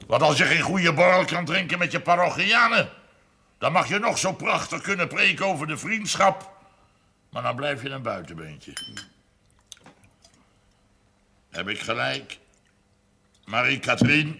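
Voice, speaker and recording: A man playing a village parish priest in a television drama, gravelly and husky, telling stories slowly and emphatically. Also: on the high side, expressive, pushed and bright, a little hiss or hum, full character voice. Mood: convivial. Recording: 1970s television drama soundtrack.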